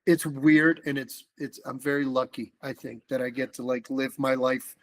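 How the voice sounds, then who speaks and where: A middle-aged man from the Dominican Republic, talking casually as a podcast guest in an animated, rambling way with lots of fillers and restarts. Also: mid-range voice, moderate pace. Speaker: political organizer guest.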